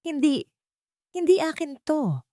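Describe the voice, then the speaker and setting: A woman's voice: high-pitched, very animated, slow pace, dark tone, dry recording, clean studio sound, voice pushed up, heavily acted. A woman with a Filipino accent voicing a distressed, wronged working woman with wounded dignity in an audiobook. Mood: shocked denial. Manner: pleading, earnest. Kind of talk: audiobook character acting.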